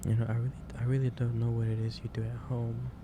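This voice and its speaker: A man playing a gentle, caring office boss in an ASMR roleplay, speaking in a hesitant, reassuring way. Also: mid-range voice, monotone, fast, close to an everyday voice. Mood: fond, concerned. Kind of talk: ASMR roleplay, soft improvised monologue. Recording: clear background noise, ambience.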